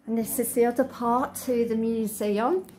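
A woman vlogger talking through a museum and garden on a walking tour, halting with many fillers. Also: a little high, steady tone, a little mellow, reverberant. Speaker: travel vlogger. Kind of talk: casual walking tour commentary. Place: indoor museum and outdoor garden.

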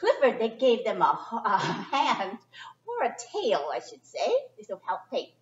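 A middle-aged woman doing a chatty, expressive children's read-aloud with informal asides, speaking in simple phrases for young listeners. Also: on the high side, a little slow. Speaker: storyteller reading a picture book to children. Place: indoor library children's area. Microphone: distant camera microphone. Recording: echoey room, distant muffled camera sound.

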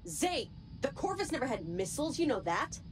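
A woman's voice: high voice, very animated, moderate pace, noisy background. A woman playing a resolute, middle-aged rebel commander and mother in a video game, speaking firmly. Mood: surprised, approving. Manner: firm, commanding.

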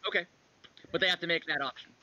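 A man acting as game master, narrating an online roleplaying game in a halting, improvised way. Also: fairly high pitch, steady tone, pushed and bright. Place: home room, online video call. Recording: dull lo-fi video call.

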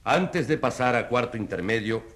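An actor playing a formal, impartial tribunal judge in a radio drama, speaking solemnly. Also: male voice, medium pitch, expressive, normal speed, fairly bright tone, close to an everyday voice. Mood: solemn, admonishing. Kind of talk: dramatic radio play acting.

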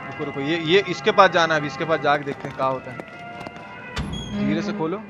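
A man's voice: on the high side, fairly quick, lo-fi sound. A man with a Bihari accent giving casual, slangy gameplay commentary as a live streamer, cursing and repeating himself as he chats with viewers. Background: game music.

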